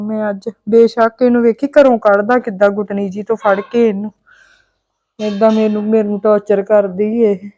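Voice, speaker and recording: A woman's voice: medium pitch, mellow, a little hiss or hum, close to an everyday voice. A woman playing an aggrieved young sister-in-law. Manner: complaining, plaintive. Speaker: actor in a family skit.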